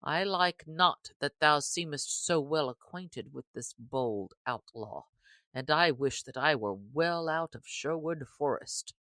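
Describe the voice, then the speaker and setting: Lady doing a sneaky greedy sheriff, middle-aged man, grumbly and suspicious, low and slow. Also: animated, fairly bright tone, no room sound, full character voice. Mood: uneasy. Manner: suspicious, grumbling, archaic speech. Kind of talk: audiobook character reading.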